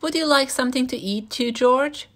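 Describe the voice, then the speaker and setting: A woman voicing a friendly, gentle man who looks after a monkey in a storybook. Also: high voice, moderate pace, voice pushed up. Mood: offering.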